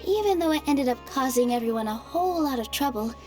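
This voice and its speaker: A young woman voicing a gentle dancer in a game, telling her story earnestly. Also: high-pitched, animated, fast talker, soft background music. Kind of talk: voiced game dialogue.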